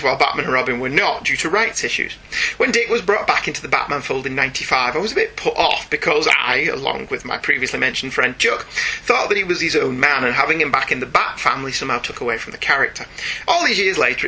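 A man's voice: medium pitch, sharp tone, slightly rough, poor audio. A chatty, enthusiastic man co-hosting a podcast, talking casually and reading out listener emails. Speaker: podcast co-host. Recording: faint background noise.

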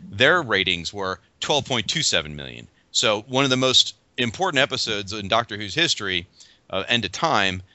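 A man hosts a casual podcast panel, his low voice bright and cutting. He quizzes his guests eagerly. The audio is dry and dull, with a lo-fi edge. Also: sing-song, moderate pace, dry recording. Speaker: podcast host.

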